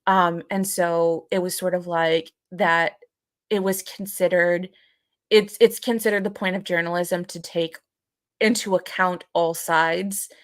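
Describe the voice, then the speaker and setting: Low-pitched young woman, halting and reflective. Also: fairly even intonation, dry recording, studio quality. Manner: halting, repeats phrases while thinking. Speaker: podcast co-host. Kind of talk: reflective casual conversation.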